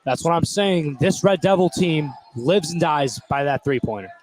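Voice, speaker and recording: Higher-pitched young male color commentator. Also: animated, normal speed, a little mellow, no room sound, rough recording. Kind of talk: casual live basketball analysis. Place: courtside in a high school gym.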